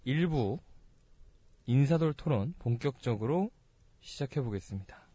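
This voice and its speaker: A man's voice: mid-range voice, animated, a little slow, warm tone, husky, no echo. A young man hosting a casual comedy talk show, teasing his guests in a playful way. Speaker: host.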